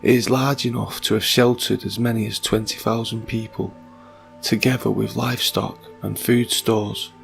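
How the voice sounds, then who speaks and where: Croaky, gravelly-voiced man narrating. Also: fairly low pitch, little pitch movement, moderate pace, soft background music. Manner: reads passages, then muses aloud in asides. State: croaky from a sore throat. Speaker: video narrator. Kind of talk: reading and commenting on mythology.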